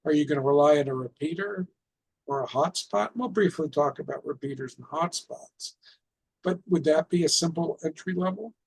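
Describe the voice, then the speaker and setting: A middle-aged man with a husky voice gives an informal explanatory presentation to club members, often posing rhetorical questions. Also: on the high side, normal speed, a little mellow, rough recording. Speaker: presenter of an amateur radio club session.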